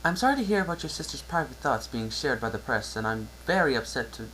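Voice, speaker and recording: A man's voice: medium pitch, lively intonation, fast, fairly bright tone, slight background noise, pitched above the performer's own voice, lightly acted, poor audio. A man voicing a polite young female pony student reading out a letter, earnest and disappointed.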